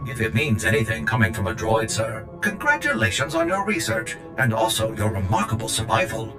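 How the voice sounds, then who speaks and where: An actor playing a loyal, courteous and tactful droid assistant in a video game. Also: male voice, fairly low pitch, completely flat delivery, brisk, over loud music, lightly acted. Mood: polite. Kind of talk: video game dialogue acting.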